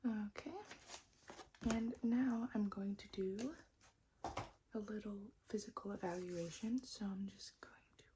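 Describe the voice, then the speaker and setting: A woman doing a soft-spoken, often whispered ASMR roleplay as a technician, gently reassuring and repeating words under her breath, close to the mic. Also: mid-range voice, fairly even intonation, unhurried, pauses often, background noise. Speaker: ASMR performer role-playing a robot technician.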